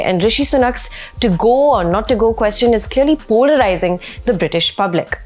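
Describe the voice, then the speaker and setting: A woman's voice: medium pitch, a little mellow. Woman presenting news over music. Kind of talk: scripted news explainer narration.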